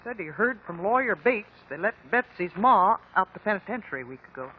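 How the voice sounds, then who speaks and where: A woman's voice: medium pitch, big pitch swings, fairly quick, breathy edge. An actress playing a plain-spoken, placid rural American farm mother in a 1950s radio drama. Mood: placid, reassuring. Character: folksy farm mother soothing her fretful daughter. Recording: tinny narrow-band old radio recording.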